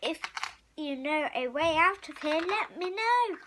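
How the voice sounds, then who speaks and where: Very high-pitched child reading a story. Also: expressive, background noise. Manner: acts out the story's child hero, halting over some words. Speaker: story reader. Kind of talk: expressive read-aloud of a picture storybook.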